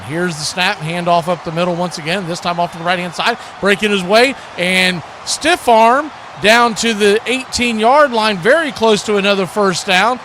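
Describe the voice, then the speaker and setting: A man with an American accent doing excitable live play-by-play commentary of American football. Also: high-pitched. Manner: excitable, calling action as it happens. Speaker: sports play-by-play announcer. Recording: clear background noise.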